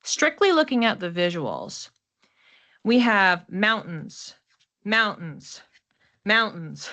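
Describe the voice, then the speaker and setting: A woman with a medium-pitched voice talks through a card reading as its host. Her delivery is informal and conversational. She tends to repeat words and string them into short lists to stress a point. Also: very animated, slow, bright, forceful tone. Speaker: host, oracle card reader.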